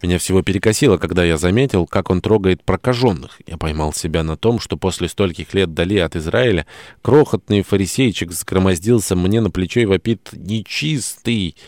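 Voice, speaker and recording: A man's voice: deep voice, a little fast, a little mellow, no room sound, studio quality. A man reading a scripted first-person story as an audiobook narrator, acting out the dialogue as he goes.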